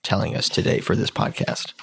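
A woman co-hosting a podcast, asking casual interview questions. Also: fairly quick. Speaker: podcast co-host.

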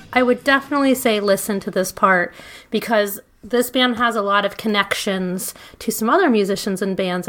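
A woman's voice: mid-range voice, fairly bright tone. Brief: middle-aged woman, casual podcast co-host, chatty and loose, filler-heavy delivery. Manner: chatty, laughing asides, frequent ums. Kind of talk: casual podcast chat and band history.

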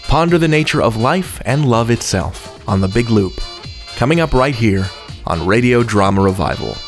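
A man hosting a podcast, reading a scripted introduction in an earnest way with expressive emphasis. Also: mid-range voice, moderate pace, faint music behind. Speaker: podcast host.

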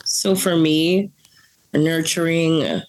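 A young woman from the Bronx, a coffee grader, chatting as a guest in a blunt, profane, animated way and laughing often. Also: low-pitched, slow pace, poor audio. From Bronx, New York. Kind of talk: casual chat.

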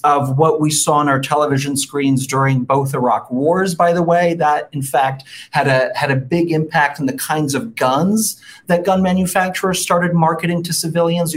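A guest expert in a political interview, speaking in a measured way, citing research and adding frequent "right?" tags. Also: mid-range voice.

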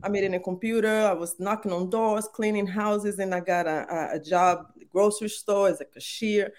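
A woman's voice: mid-range voice, fairly even intonation, normal speed. Brazilian-accented woman telling her story. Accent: Brazilian Portuguese. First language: Portuguese. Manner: earnest, warm, faith-filled, frequent fillers. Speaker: podcast guest. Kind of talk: casual storytelling interview.

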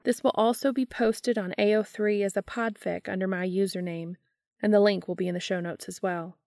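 A woman hosting and narrating fan fiction in a scripted, expressive storytelling read. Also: medium pitch, brisk, gravelly, faint music behind. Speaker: podcast host and reader.

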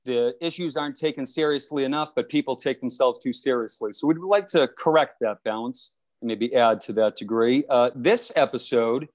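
A man's voice: mid-range voice, normal speed, hardly any pauses, darkish tone. A young man, a law student, hosting a podcast over a video call in an upbeat, playful way. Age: young adult. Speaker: podcast host. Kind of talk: scripted podcast intro.

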